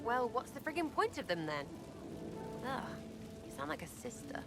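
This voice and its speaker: An actress playing a scrappy young rogue in a fantasy game, brash and slangy. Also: female voice, a little high, big pitch swings, normal speed, vocal fry. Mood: cheeky, restless. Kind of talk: dramatic game dialogue. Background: music.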